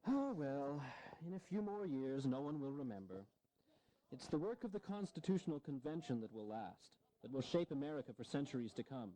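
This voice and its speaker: A man's voice: mid-range voice, big pitch swings, a little fast, dark tone, lightly acted, poor audio. An actor playing an aging, self-deprecating American statesman in a historical audio drama, sardonic, blunt and earnest.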